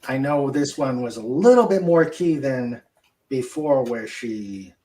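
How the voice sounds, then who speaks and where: A man hosting a casual livestream chat, speaking haltingly with many fillers. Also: mid-range voice, animated, warm tone, slight background noise. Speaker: host.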